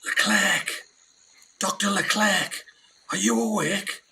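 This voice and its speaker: An actor playing a rough, indignant British scientist on a 1920s jungle expedition, speaking in emphatic slang for a radio play. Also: male voice, high voice, slow pace, sharp tone, a little hiss or hum, heavily acted. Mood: urgent. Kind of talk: dramatic 1920s adventure radio acting.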